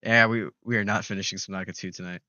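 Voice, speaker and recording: A man's voice: low voice, steady tone, racing, sharp tone, no room sound, dull audio. A young man streaming games, chatting casually, rambling, with frequent swearing and restarts. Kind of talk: casual gaming stream chat.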